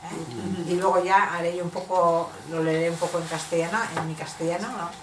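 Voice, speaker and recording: A low-voiced woman poet speaks at an informal reading. She is hesitant and corrects herself, heard from a distance in an echoing, noisy room. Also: little pitch movement, a little fast. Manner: hesitant, self-correcting, searching for words. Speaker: poet presenting and reading own work. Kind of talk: informal book presentation and poetry reading. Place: bookshop event.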